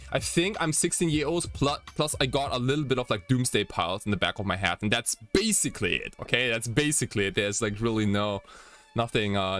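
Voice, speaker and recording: A man of twenty-nine from Germany chats casually as a podcast host, rambling and halting with many fillers. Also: medium pitch, fairly bright tone. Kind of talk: casual chat. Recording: music behind the voice. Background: faint background music.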